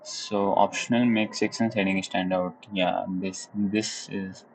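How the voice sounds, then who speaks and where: A young man from Nepal with a Nepali accent, live-streaming his coding practice in casual commentary. He thinks aloud in a relaxed way, hums little la-la tunes as he works, and sometimes drops into Nepali. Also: low-pitched, unhurried, a little hiss or hum, poor audio. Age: young adult. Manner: laid-back, chuckles, switches into Nepali. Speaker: livestreamer learning web coding.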